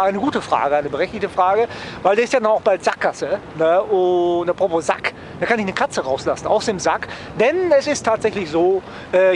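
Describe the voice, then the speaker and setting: A man's voice: high-pitched, a little hiss or hum. A man chatting casually to viewers as a travel vlogger, rambling and wryly joking, often tagging phrases with a questioning "ne?".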